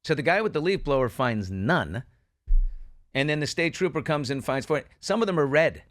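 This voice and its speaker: A man's voice: a little high, lively intonation, normal speed, a bit bright, dry recording, clean studio sound. A man hosting a true-crime news discussion, speaking in an emphatic, opinionated way and posing rhetorical questions. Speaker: talk show host.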